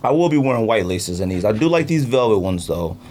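A man's voice: on the low side, very animated, fast, darkish tone. A man hosting a podcast in a casual, slangy, playful chat about sneakers. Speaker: podcast host. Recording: clearly noisy background.

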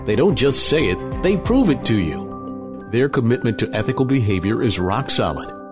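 A male announcer reads a sponsor spot in a slow, emphatic promotional style with a lively, animated pitch. Music plays clearly under the read. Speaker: show announcer. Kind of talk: read radio ad.

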